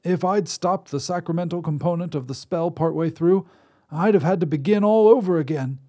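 Male narrator doing a kindly, reedy old wizard, pitched up, eager to please. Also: normal speed, mellow, close to an everyday voice. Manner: polite, contrite, eager to please. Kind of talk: storybook reading.

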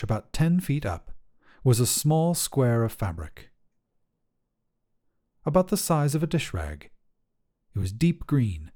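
Male narrator for short fiction, soft mellow tone, slightly low voice, lively intonation. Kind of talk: scripted short story narration.

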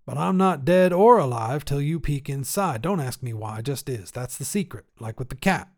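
A man's voice: medium pitch, lively intonation, moderate pace, darkish tone. A man voicing a stubborn old grandfather who tinkers with inventions, talking in a folksy, clipped, insistent way. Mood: stubborn, defensive.

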